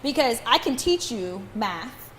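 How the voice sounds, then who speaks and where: A woman's voice: fairly high pitch, very animated, a little slow, reverberant. A woman co-hosting a podcast, talking in a blunt, teasing, opinionated way, recorded in a hair salon. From Guyana. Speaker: podcast co-host. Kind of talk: casual chat.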